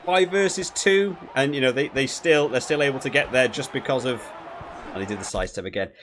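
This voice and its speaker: Guy chatting through a game review, average pitch, rambles and thinks out loud, some noise behind him. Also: fairly quick. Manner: rambling, thinking aloud with frequent hedging. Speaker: gaming video creator reviewing a rugby game. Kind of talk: casual gameplay commentary.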